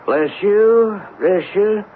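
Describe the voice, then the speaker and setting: An actor playing a whining, sly old beggar with a drawn-out pleading manner in a 1970s radio drama. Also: male voice, sits high, noisy background. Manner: drawn-out pleading street call. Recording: phone-like lo-fi 1970s radio broadcast recording.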